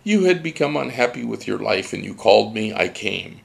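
A man's voice: lowish voice, sing-song, normal speed, creaky, exaggerated voice. A man voicing a mysterious spirit teacher that takes a child's form, speaking bluntly and instructively.

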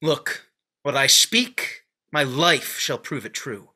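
A man voicing a fierce young nobleman making accusations in a Shakespeare reading over a video call, with forceful, measured delivery. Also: medium pitch, full character voice. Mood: accusing. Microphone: video call webcam.

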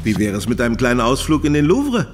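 An actor playing a resolute, humane professor who fights demons, in a horror audio drama. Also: male voice, mid-range voice, big pitch swings, fast, natural voice. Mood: determined, wry. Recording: long reverb, loud music underneath.

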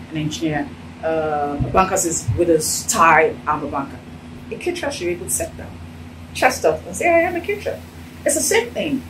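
A middle-aged Nigerian woman with a Nigerian accent, telling her story in a casual, animated interview in an office. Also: mid-range voice, distant mic, a little hiss or hum, poor audio. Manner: animated, gesturing while talking. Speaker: interviewee, business owner. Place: indoor office with sofa. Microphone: handheld phone held out by interviewer.

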